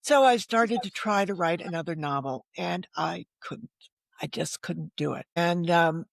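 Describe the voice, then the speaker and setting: An older woman talks as an interview guest, her voice creaky with fry and recorded dry and close. She tells personal stories frankly, with wry, self-deprecating asides and bits of quoted dialogue. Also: lowish voice, a little slow, dry recording. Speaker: podcast guest, novelist. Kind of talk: candid personal storytelling in an interview.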